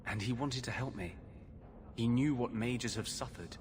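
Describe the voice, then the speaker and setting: An actor playing a tormented mage possessed by a vengeful spirit, speaking gravely and confessionally in a video game. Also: male voice, mid-range voice, moderate pace, slight background noise, close to an everyday voice. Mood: troubled, confessional. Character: tormented male mage sharing his body with a vengeful spirit. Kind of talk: dramatic video game acting.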